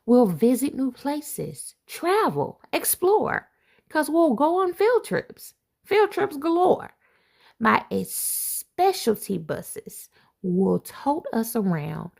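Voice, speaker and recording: A woman reads a rhyming picture book aloud to children, taking her time and letting her voice swoop up and down with delight. Her voice sits fairly high and carries a gravelly edge of fry. She breaks off now and then for playful asides to her young listeners. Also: slow pace. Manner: expressive storybook reading with playful asides. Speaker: assistant principal reading aloud.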